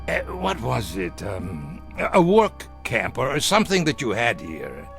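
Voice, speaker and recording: A man's voice: medium pitch, wildly swooping pitch, unhurried, gravelly, fairly bright tone, faint music behind, exaggerated voice. An actor playing a smug middle-aged German former Nazi officer who poses as a tourist, coy, falsely casual and hesitant in his probing. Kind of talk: radio drama acting.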